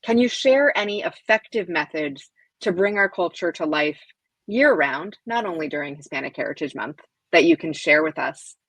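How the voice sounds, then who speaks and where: A woman with a lowish voice hosts an online panel, reading introductions and questions in a clear, orderly way. Her voice sounds dry and close to the mic. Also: lively intonation, moderate pace, a bit bright, no room sound, low-quality recording. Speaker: panel moderator and author. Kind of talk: hosting a panel discussion.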